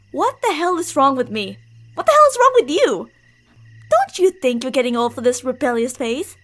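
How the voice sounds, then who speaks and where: High-pitched, possessive yandere young woman played by an actress. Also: fairly bright tone. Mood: angry, possessive. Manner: teasing, insistent, emotionally volatile. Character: possessive delusional yandere young woman, childhood bully turned stalker. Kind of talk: ASMR roleplay monologue.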